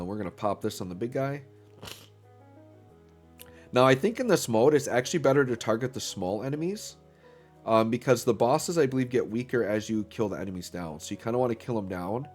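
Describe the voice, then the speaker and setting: A man's voice: fairly low pitch, animated, brisk, darkish tone, soft background music. Stuffy-voiced man narrating gameplay. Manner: upbeat, filler-heavy, talks while playing. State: slightly stuffy from an illness. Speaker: gaming channel host. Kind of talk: casual gameplay commentary.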